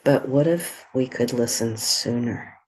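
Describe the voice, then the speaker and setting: A woman leading a guided meditation in a gentle, soothing way, with a soft, breathy voice and long pauses between short phrases. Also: rumbling low, rough recording.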